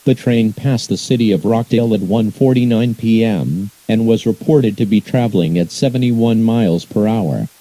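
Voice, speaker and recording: Man, emergency alert announcer, very dark mellow tone, dry sound, scripted, formal, impersonal. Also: lowish voice, normal speed, few pauses, dry recording, rough recording.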